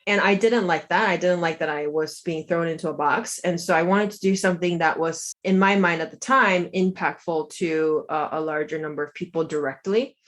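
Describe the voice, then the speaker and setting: Low-voiced woman, reflective and chatty. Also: fairly even intonation, normal speed, hardly any pauses, a bit bright. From South Korea. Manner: reflective, chatty, lots of "like" and restarts. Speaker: guest, lawyer and health coach. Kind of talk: casual interview answers.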